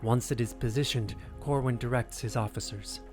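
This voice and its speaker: A man narrates a scripted drama in a mid-pitched voice. His phrasing is grave, deliberate and suspenseful. Prominent music plays behind him. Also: fairly even intonation, a little mellow.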